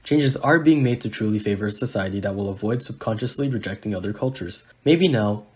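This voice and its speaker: A man reading a scripted narration aloud in an even, read-out manner. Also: fairly low pitch, fairly quick. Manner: read-aloud, evenly paced.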